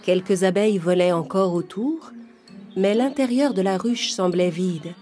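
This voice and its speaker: Female storyteller, medium pitch, scripted children's fairy-tale narration, clear background music. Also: unhurried, warm tone. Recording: music behind the voice.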